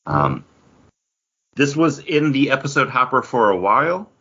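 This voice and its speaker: A man's voice: mid-range voice, sing-song, unhurried, pushed and bright, breathy edge, dull audio. A man chatting casually as a playful, joking co-host of a pop culture podcast. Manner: playful, jokey.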